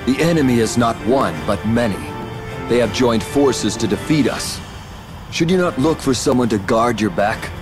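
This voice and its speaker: Earnest, noble warrior over loud music man acting. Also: medium pitch, normal speed, lightly acted. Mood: earnest concern. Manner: formal, earnest. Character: noble, earnest male warrior, formal and concerned. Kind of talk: video game cutscene acting.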